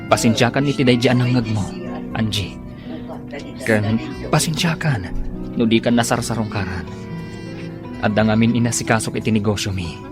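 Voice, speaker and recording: A man's voice: fairly low pitch, moderate pace, pauses often, natural voice. A man playing an earnest, insistent well-off suitor courting a housemaid in an Ilocano radio drama. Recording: radio drama with music underneath.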